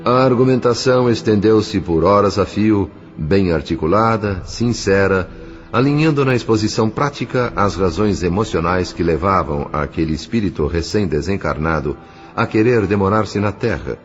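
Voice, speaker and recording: Deep-voiced Brazilian man narrating solemnly. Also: expressive, normal speed, highs cut off, faint music behind. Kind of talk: scripted literary narration of an audio novel.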